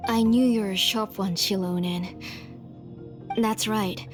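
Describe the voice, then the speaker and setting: A woman voicing an older, devoted mother and craftswoman in a video game, speaking in an earnest, measured way. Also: medium pitch, steady tone, music in the background, close to an everyday voice. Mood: calm, assured. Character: aging, devoted mother and master name engraver. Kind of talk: scripted video game voice acting.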